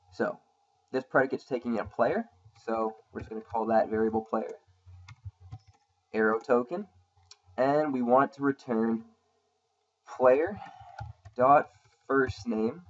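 A man talks through a coding tutorial over a screen recording, casual and unscripted, often pausing on ums while he types. His voice sounds a little rough from a sore throat, and it sits at a middling pitch. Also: dull audio. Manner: casual, thinking aloud with many ums. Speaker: programming tutorial host. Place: at a computer, voice-over on screen capture.

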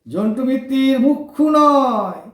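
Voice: sits high, animated, measured pace. A cocky, good-hearted young street lad with a Kolkata accent , as acted in a radio play. The delivery is slangy and breezy, and the voice rings with room echo. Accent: Kolkata Bengali. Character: brash, warm-hearted orphaned Kolkata street lad of twenty-four. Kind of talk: colloquial audio drama acting.

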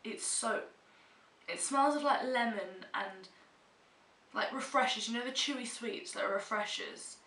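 A woman's voice: on the high side, a little slow, sharp tone. A young British woman vlogger with a stuffy cold, chatting casually and emphatically to camera in a room at home. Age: young adult. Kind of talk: casual monthly favourites chat to camera. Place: small room at home, indoors. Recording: roomy echo, distant mic, faint hiss.

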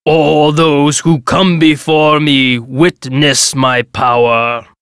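A man's voice: on the high side, little pitch movement, slow, a bit bright, smooth, no echo, clean studio sound. A man voicing a proud, mighty ancient Maya king in a video game, declaiming solemnly and grandly. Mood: grand, imperious. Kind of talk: video game character monologue.

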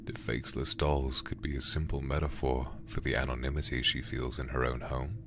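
A man reading a horror game aloud in ASMR style, hushed, breathy and deliberate, close to the microphone. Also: rumbling low, little pitch movement, music in the background. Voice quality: breathy, whispery. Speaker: livestreamer playing a horror game.

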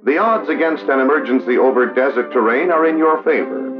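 An American man giving measured, solemn, scripted narration in the style of a 1960s training documentary. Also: medium pitch. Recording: dull vintage film soundtrack. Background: music.

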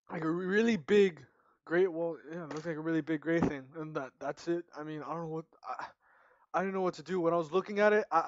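A young man playing a horror game at home into a headset mic, panicky and jumpy, his voice going hoarse. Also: sits high, no echo, muffled recording. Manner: jumpy, panicky, screams and mutters. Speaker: gaming YouTuber. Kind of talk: horror game let's play commentary. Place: small room at home, indoors.

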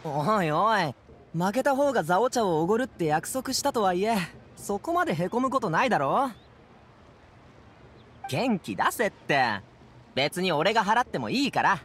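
ゲームで、男性声優が演じる明るく気さくな若い護衛。くだけた少年っぽい口調で話す。. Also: male voice, high voice, very animated, moderate pace, fairly bright tone, soft background music. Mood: playful. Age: young adult. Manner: casual, breezy, boyish slang. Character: upbeat, friendly young man, transport guard. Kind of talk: Japanese anime game voice acting.

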